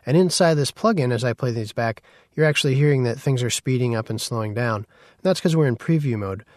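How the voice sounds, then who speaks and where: Dry-sounding man teaching software casually. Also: medium pitch, a little fast, dry recording. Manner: informal, conversational. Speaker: instructor.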